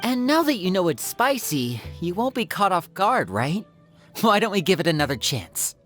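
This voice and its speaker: A woman's voice: on the low side, big pitch swings, normal speed, soft background music. A woman playing a poised, gracious young traveling magician in a video game cutscene, speaking in a polite, articulate, playful way.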